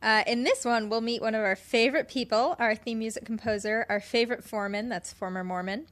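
A woman's voice: a little high, a bit bright. Teasing female podcast co-host. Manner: teasing, quick to laugh. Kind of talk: casual podcast chat. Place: indoors, at the guest's home.

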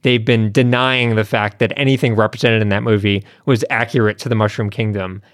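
A man's voice: fairly low pitch, steady tone, brisk, no room sound, clean studio sound. A man co-hosting a podcast, chatting casually as he poses questions and steers the discussion. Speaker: podcast co-host.

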